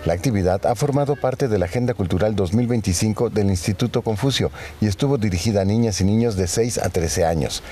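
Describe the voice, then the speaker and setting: Low, mellow male news narrator. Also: husky. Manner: measured, even newsreader delivery. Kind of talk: scripted news voice-over.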